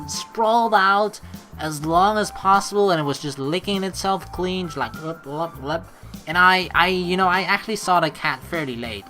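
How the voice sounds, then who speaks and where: A woman hosting a let's play, mixing casual, rambling commentary with reading the game's text aloud, expressive and quick to swear. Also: low-pitched. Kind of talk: casual game commentary with read-aloud narration.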